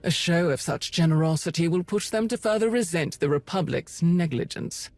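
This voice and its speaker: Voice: medium pitch, lively intonation, moderate pace, darkish tone, no echo, natural voice. An actor playing a coolly superior imperial Sith schemer in a video game, speaking formally and precisely. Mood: smooth assurance. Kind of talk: video game dialogue acting. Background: music.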